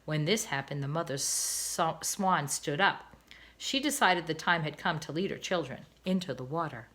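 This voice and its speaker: A woman's voice: sits low, lively intonation, moderate pace, a bit bright. A woman teacher reading a children's novel aloud, careful with small slips. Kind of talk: storybook read-aloud.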